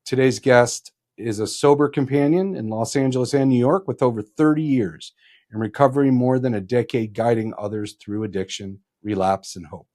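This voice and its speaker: Man, average pitch, podcast host, earnest, encouraging, introducing and interviewing. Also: moderate pace, darkish tone.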